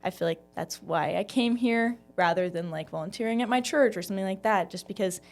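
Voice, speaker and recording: A woman's voice: mid-range voice, fairly quick, darkish tone. A young woman from Texas chatting casually as a student guest, often dropping "like" into her talk. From Texas, United States. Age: young adult. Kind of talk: casual chat.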